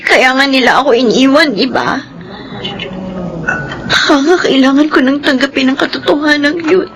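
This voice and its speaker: A woman's voice: sits high, moderate pace, a crowd in the background, low-quality recording. A young woman with a Filipino accent playing an earnest, wounded daughter in a radio drama, plaintive, with music behind. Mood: sad, hurt. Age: young adult.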